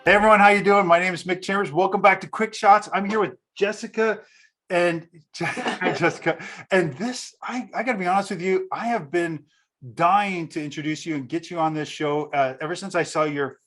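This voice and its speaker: A man hosting a podcast interview, eager and excitable, talking in quick bursts with frequent restarts. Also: high-pitched. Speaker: podcast host. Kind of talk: casual interview chat.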